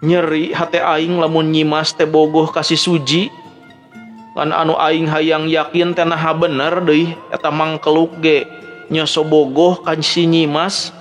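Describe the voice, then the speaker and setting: A man's voice: fairly high pitch, monotone, unhurried, voice pushed up, lightly acted. A man voicing a jealous, lovesick male warrior in a folk tale, questioning himself in an anguished inner monologue. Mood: jealous, doubting. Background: background music.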